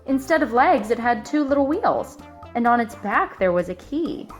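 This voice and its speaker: A woman in her thirties reading a children's story aloud, expressive, with dramatic pauses. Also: a little high, soft background music. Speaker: storybook reader. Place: living room sofa for the introduction.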